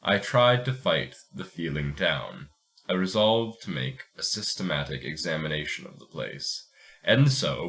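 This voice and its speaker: A man's voice: deep voice, a bit bright. A man co-hosting a podcast, moving between casual chat and dramatic reading of a story.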